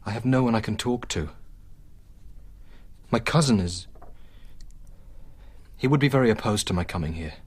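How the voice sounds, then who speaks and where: Lovesick young man: lowish voice, earnest, poetic, impassioned radio drama delivery. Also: animated, normal speed, long pauses, breathy edge. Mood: subdued, troubled. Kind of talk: literary radio drama acting.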